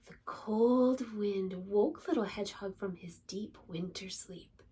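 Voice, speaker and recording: A woman reading a picture book aloud for young children in an expressive, dramatic, deliberate storytelling manner. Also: medium pitch, slightly rough, recorded from a distance. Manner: animated, dramatic, deliberate phrasing for small children.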